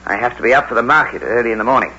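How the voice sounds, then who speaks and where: An actor playing a suave, dismissive pub landlord with an urbane, condescending manner in an old-time radio drama. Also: male voice, medium pitch, animated, racing, bright, forceful tone. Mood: polite, guarded. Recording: dull, noisy vintage radio recording.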